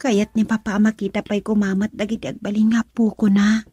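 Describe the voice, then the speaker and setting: A woman's voice: mid-range voice, level tone, brisk, mellow, husky, no echo, lightly acted. A woman playing a frustrated young girlfriend in an Ilocano radio drama, emotional and pleading as she longs to marry.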